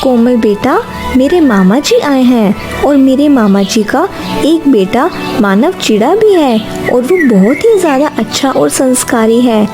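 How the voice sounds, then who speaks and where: A caring middle-aged mother bird from a cartoon moral story, acted out by a woman. Her voice sits high, and she talks earnestly and politely, coaxing and eager to please. Music plays clearly behind her. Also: expressive, measured pace.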